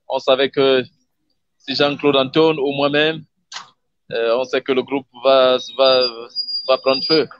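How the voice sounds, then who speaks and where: A man in his fifties, a former footballer, speaking as a guest in a measured way with many hesitations. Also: mid-range voice, a bit bright, no echo. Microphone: phone on a video call. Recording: dull video-call audio.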